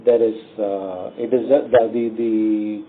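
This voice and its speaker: A man with an Indian accent speaks as a company executive answering questions on an earnings call, in a halting, measured way with frequent pauses. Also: fairly low pitch, flat delivery. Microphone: conference call phone line. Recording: tinny narrowband phone line.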